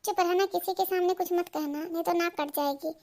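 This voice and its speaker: A woman's voice: very high-pitched, fairly even intonation, fairly quick, darkish tone. A woman voicing a bossy young elder sister in a comedy cartoon. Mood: stern. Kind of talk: Hindi comedy cartoon dubbing.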